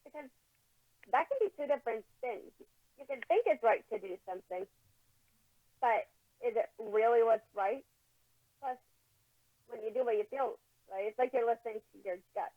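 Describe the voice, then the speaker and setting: A woman's voice: on the high side, lively intonation, moderate pace, long pauses, no room sound. A woman co-hosting a podcast as an amateur storyteller, stumbling now and then, heard through a lo-fi phone-like connection. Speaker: podcast co-host and amateur writer.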